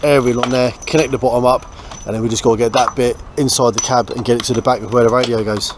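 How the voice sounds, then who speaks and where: A man's voice: medium pitch, steady tone, quick pace. Blokey English man with background noise. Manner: informal, blokey, explaining as he works. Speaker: host of a boat restoration vlog. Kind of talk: casual hands-on vlog chat. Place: on and around a small boat.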